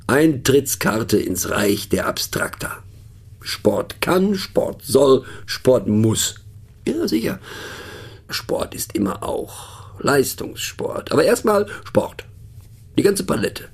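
A man's voice: medium pitch, darkish tone, husky, exaggerated voice. An actor playing a middle-aged male narrator in a radio play monologue, musing and mocking, thoughtful and hesitant, often turning to question the listener. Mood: musing, self-amused. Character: musing, mocking male narrator, rambling to fellow train passengers.

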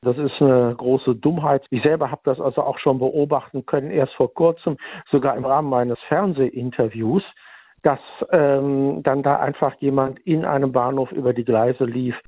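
A man's voice: medium pitch, steady tone, moderate pace, no room sound. A man speaking as chairman of an association, commenting spontaneously in an interview over a narrow line. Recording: thin phone-like interview line.

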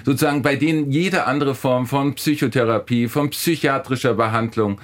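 A man's voice: mid-range voice, fairly even intonation, moderate pace. A man speaking as an academic guest in a discussion, explaining and arguing emphatically, often restarting and repeating phrases. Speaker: philosophy professor, guest.

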